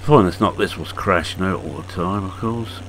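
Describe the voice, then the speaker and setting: A man in his sixties talks through his farming game in a relaxed, rambling way, pausing on fillers as he goes. His voice sits very low and sounds hoarse and croaky. A faint engine hum runs under his voice. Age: 60s. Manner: unhurried, rambling, halting with fillers. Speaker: farming game video maker. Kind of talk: casual gameplay commentary.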